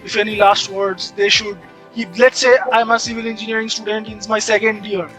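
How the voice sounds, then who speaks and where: High-pitched, bright male interviewer. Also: little pitch movement, brisk, faint music behind, low-quality recording. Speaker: interviewer, host. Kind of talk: conversational interview hosting.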